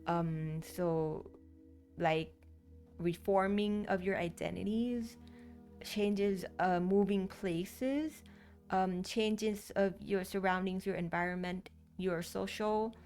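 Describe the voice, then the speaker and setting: A woman's voice: medium pitch, takes it slowly, darkish tone, faint music behind. A woman with a Thai accent whose first language is Thai, giving a casual card reading and rambling with many fillers and restarts. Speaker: tarot card reader.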